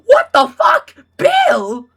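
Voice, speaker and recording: A woman's voice: big pitch swings, a little slow, a bit bright, heavily acted. A woman voicing a hysterical young man who shrieks and panics beside his brother. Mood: shrieking. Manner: shrill and panicky.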